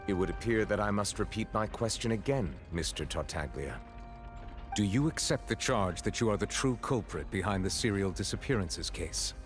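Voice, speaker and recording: An actor playing a stern chief judge in a video game, speaking in a formal, measured, wordy way. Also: male voice, lowish voice, lively intonation, faint music behind, lightly acted. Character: stern, formal male chief judge presiding over court.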